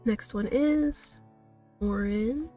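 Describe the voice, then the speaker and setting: Female game streamer, higher voice, giggly and self-deprecating, casual read-aloud, music underneath. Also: very slow, dark tone, recorded from a distance. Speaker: streamer reading a visual novel aloud. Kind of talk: casual playthrough commentary and read-aloud narration.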